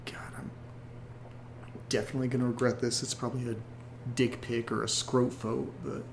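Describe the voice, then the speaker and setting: A man playing a nervous young guy, gravelly voice. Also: mid-range voice, little pitch movement, fairly quick, a little hiss or hum, natural voice. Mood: irritated. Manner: rambling, nervous, hesitant. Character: anxious young man receiving strange photos. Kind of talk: found-footage horror audio drama.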